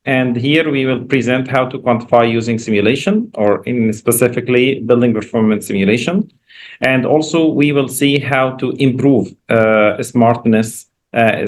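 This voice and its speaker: Man lecturing in Egyptian-accented English. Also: medium pitch. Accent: Egyptian Arabic. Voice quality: non-native English phrasing. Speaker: professor giving a guest lecture. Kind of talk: informal academic presentation with slides. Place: online video call.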